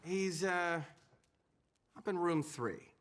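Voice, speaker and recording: Slow, lilting genteel hotel clerk. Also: mid-range voice, lively intonation. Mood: intrigued, gushing. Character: polite, starry-eyed Old West hotel clerk. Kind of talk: cinematic western game acting.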